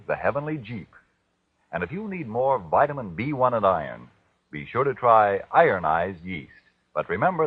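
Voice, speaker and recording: American male 1940s radio announcer, low and lively, husky, scripted sponsor delivery on tinny vintage broadcast. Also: no echo.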